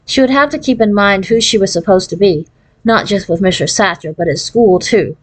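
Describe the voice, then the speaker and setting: A woman narrating a children's novel as an expressive read-aloud. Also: medium pitch, moderate pace, slightly rough. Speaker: storybook reader.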